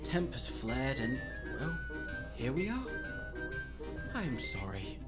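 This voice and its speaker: Pompous scheming villain scientist, theatrical swoops. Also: male voice, medium pitch, big pitch swings, takes it slowly, over loud music. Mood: placating. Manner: theatrical, articulate, condescending. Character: scheming male mad-scientist platypus, pompous, calculating. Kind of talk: comic dub voice acting.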